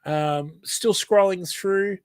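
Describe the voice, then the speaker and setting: A man's voice: high-pitched, slow pace, clean tone, no room sound. Australian man with a blocked nose. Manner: hesitant, many ums, apologetic. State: blocked nose from hay fever. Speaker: live stream host. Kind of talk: casual live stream chat reading comments.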